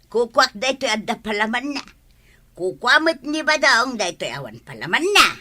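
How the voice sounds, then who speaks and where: A woman's voice: a little high, sing-song, moderate pace, pushed and bright, exaggerated voice. A woman playing a scheming, bossy village customer in a comedy drama, teasing in an Ilocano accent. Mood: casual.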